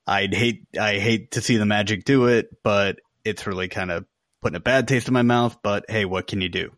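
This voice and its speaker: A man's voice: lowish voice, steady tone, normal speed, a bit bright, no echo, clean studio sound. A man co-hosting a podcast and chatting casually with the panel. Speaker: podcast co-host.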